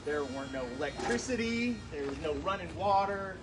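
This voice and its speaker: A man's voice: high voice, expressive, a little fast, over music. A man hosting a live show, telling stories to an audience in a folksy, colloquial way with rural turns of phrase. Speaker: puppet show host and storyteller. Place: live show at an art guild event. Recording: distant mic, noisy live event ambience.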